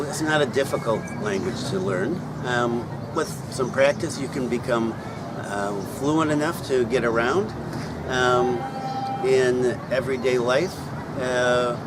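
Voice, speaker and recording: A middle-aged man explaining things casually to viewers, pausing often with ums and uhs. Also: mid-range voice. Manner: hesitant, many ums and uhs. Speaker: vlogger answering viewer questions. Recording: loud outdoor traffic noise.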